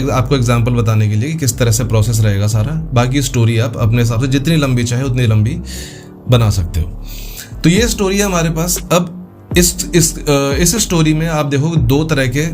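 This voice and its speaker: A man explains things casually, step by step, as a tutorial host. His pitch is average and faint music sits behind him. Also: normal speed, a little mellow. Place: voice-over for a computer screen recording.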